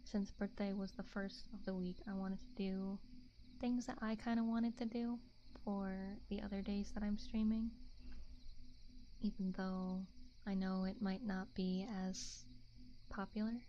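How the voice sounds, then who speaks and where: A soft-spoken woman hosting an ASMR livestream, chatting casually and gently, often slipping into whispers, close to the microphone. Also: medium pitch, little pitch movement, unhurried, lo-fi sound, slight background noise. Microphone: close binaural ASMR microphone.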